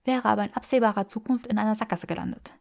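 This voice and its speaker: A woman's voice: mid-range voice, fairly even intonation, quick pace, gravelly, lightly acted. A woman voicing an eager, bookish young woman for an audiobook, breathless and emphatic as she gushes. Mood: excited, insistent. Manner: breathless, gushing, emphatic delivery. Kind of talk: acted audiobook dialogue.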